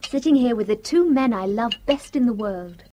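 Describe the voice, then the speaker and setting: A woman's voice: on the high side, animated, normal speed, a little hiss or hum, lightly acted. An actress playing an affectionate, gushing young woman secretary in a radio drama. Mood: fond. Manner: warm, gushing, fond. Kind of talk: 1970s radio drama acting.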